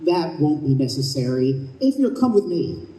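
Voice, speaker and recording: An actor in a live radio play voicing a breezy, businesslike escort of souls, matter-of-fact and officious. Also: male voice, a little high, big pitch swings, moderate pace, dark tone, reverberant, low-quality recording. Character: chipper, businesslike male heavenly escort for souls. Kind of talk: live old-time radio play acting. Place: live stage at a convention.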